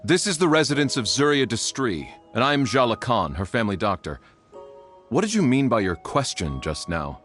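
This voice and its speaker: A man's voice: medium pitch, sing-song, moderate pace, a bit bright, soft background music. A man playing a courteous but guarded family doctor in a video game, formal in his wording. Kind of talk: video game dialogue acting.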